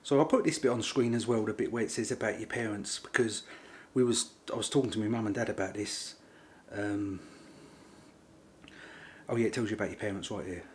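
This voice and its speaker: A man's voice: fairly low pitch, a little fast, pauses often, a little hiss or hum. A middle-aged man, vlogging in a rambling, casual way and often repeating himself. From England. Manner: rambling, repeats himself, informal working-class grammar. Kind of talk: casual chat to camera.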